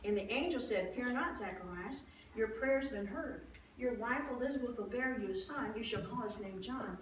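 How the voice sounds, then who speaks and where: Very roomy distant recording, woman performing as devout older wife, highish voice, excitable, awestruck, conversational Bible storytelling monologue, expressive, halting. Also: close to an everyday voice. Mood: earnest, explaining. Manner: conversational, expressive, halting with restarts and acted-out exclamations. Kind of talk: first-person dramatized Bible monologue, storytelling. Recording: roomy echo, distant mic, faint noise.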